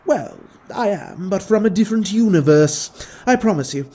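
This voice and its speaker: A man's voice: sits high, lively intonation, moderate pace, dark tone, voice pushed up. A man voicing a defiant, wary time-travelling hero in an audio drama, pointed and emphatic, with music underneath. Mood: defiant, explaining.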